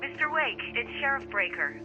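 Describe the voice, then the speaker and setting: A woman's voice: high-pitched, sing-song, moderate pace, over music, low-quality recording. A woman playing a polite, businesslike small-town sheriff in a video game.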